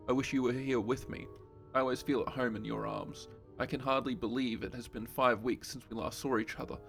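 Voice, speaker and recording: A man voicing a tender, lovesick letter writer with a soft, earnest, intimate way of reading. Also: mid-range voice, creaky.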